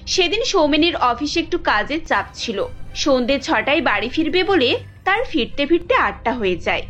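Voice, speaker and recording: A woman's voice: high voice, fairly bright tone. A woman reading scripted narration for a cartoon story, with dull lo-fi sound. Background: music.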